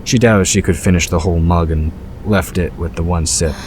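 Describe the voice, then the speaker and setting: A man's voice: low-pitched, fairly even intonation, brisk, darkish tone. A man reading scripted fantasy fiction as the narrator of a story. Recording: clear background ambience noise.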